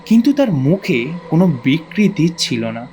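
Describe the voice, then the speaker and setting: A man's voice: fairly high pitch, sing-song, moderate pace, mellow, slight background noise. A man narrating a first-person ghost story from a script in a suspenseful, dramatic storytelling manner.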